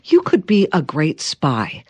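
A woman's voice: low-pitched, slow, breathy edge, dry recording. Curious woman interview host. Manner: lively, curious questioning. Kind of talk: interview hosting.

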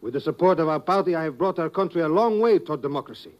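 A man's voice: on the high side, lively intonation, racing, dull audio, lightly acted. An actor playing a troubled, proud male head of government in a 1950s radio drama, speaking earnestly and formally.

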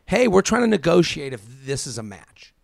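A man in his thirties speaks in a fairly high voice with a lot of fry, recorded close and dry. He chats casually like an advice podcast host, firing off rhetorical questions and acting out imagined quotes. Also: big pitch swings, a little fast, dry recording. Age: 30s.

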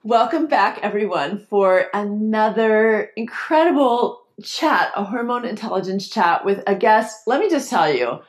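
A woman's voice: medium pitch, lively intonation, a little slow, hardly any pauses. A woman hosting a podcast, introducing her guest with enthusiastic, effusive praise. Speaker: podcast host.

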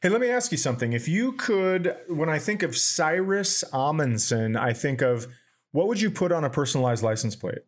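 A man hosting a podcast, telling stories in a casual, profane, animated way. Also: a little high, moderate pace. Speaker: podcast host.

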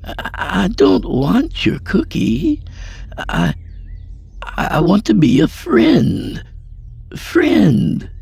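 A man voicing a gentle, nervous man who coaxes a wild creature in soft, halting, overly polite words. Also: sits high, wildly swooping pitch, vocal fry, husky, pitched above the performer's own voice, full character voice. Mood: nervous soothing. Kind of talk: storybook reading.

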